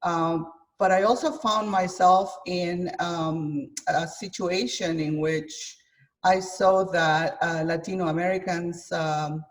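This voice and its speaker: Woman from Guatemala, interview guest; halting, filler-heavy delivery, a bit off-mic on a video call. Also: on the low side, steady tone, far from the mic. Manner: hesitant, many fillers, thoughtful. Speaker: chef and author guest. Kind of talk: interview conversation.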